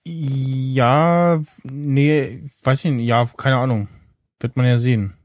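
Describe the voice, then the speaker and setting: A man co-hosting a podcast, talking casually and haltingly as he thinks aloud. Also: mid-range voice, fairly even intonation, no echo. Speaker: podcast co-host. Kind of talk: casual, unscripted podcast chat about tech and streaming.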